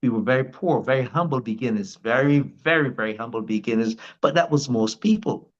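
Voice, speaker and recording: A man's voice: mid-range voice, a little fast, highs cut off. An older man from Barbados with a Barbadian accent, telling his life story as a guest in a casual interview, rambling with many restarts.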